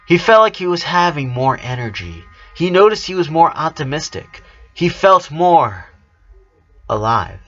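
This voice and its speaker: A man reads a narrative aloud in a medium-pitched voice. He occasionally trips on a word and goes over it again. The recording is slightly muffled and lo-fi. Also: fairly bright tone. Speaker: fan fiction narrator. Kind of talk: narrated story reading.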